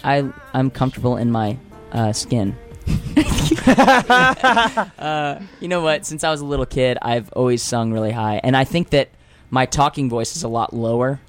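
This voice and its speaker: Young guy on a radio show, middle pitch voice, rambly and jokey about himself, lots of ums. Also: lively intonation, measured pace, soft background music. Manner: rambling and self-deprecating, many fillers and restarts. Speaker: guest singer. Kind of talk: casual radio interview. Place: radio studio.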